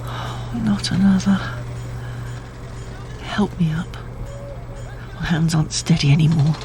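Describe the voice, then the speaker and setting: An actor in a sci-fi audio drama playing an ailing, reverent leader who speaks slowly and grandly. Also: medium pitch, fairly even intonation, darkish tone, faint music behind, natural voice. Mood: weary, resigned.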